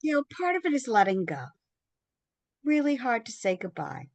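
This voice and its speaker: A woman, a bereavement specialist and co-host, speaking emphatically in a casual conversation, with quick bursts of speech. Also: on the high side, very animated, darkish tone.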